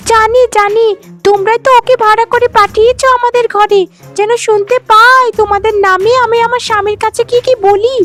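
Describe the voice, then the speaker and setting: A woman voicing a spiteful, arrogant young wife in a cartoon, scolding in a shrill, melodramatic way. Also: fairly even intonation, fairly quick, a bit bright. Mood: indignant, scornful. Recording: music behind the voice. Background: music.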